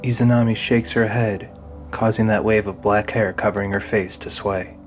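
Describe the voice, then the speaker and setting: Low-voiced male narrator over music. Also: steady tone, normal speed. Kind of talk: scripted audio drama narration. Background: music under the narration.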